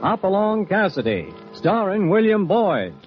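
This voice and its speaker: A man's voice: high voice, big pitch swings, unhurried. A man with an American accent giving grand, dramatic scripted narration as an old-time radio announcer. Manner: grand, declamatory. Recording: muffled old radio recording with music.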